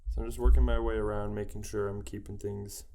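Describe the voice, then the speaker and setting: A man's voice: fairly low pitch, quick pace, over music. A man with a head cold, thinking aloud in a casual way as he teaches a digital painting tutorial. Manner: relaxed, musing aloud while working. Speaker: digital art instructor.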